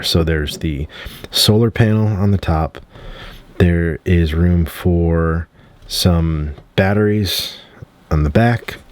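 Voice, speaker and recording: A man giving a casual product review at home, loose and relaxed, often clearing his throat and using fillers. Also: deep voice, dry recording.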